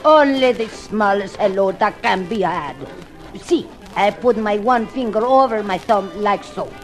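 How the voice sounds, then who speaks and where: A woman's voice: mid-range voice, measured pace, soft background music, natural voice. Haughty actress as a frosty woman. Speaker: actor in a radio drama. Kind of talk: 1950s radio acting.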